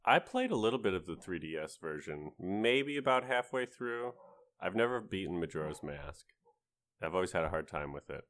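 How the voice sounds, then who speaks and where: A man's voice: sits low, expressive, normal speed, a bit bright. A man talking casually with other podcast panelists about video games.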